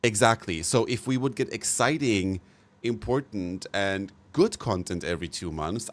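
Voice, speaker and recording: A man streaming live, reacting bluntly to game news and giving opinionated takes. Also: mid-range voice. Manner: swears casually. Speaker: livestreamer. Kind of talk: casual live-stream commentary.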